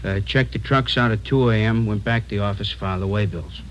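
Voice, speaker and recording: A man playing a hesitant warehouse shipping clerk in a halting 1940s radio acting style. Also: on the low side, dull audio, natural voice. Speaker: actor in radio drama. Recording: noisy vintage radio recording.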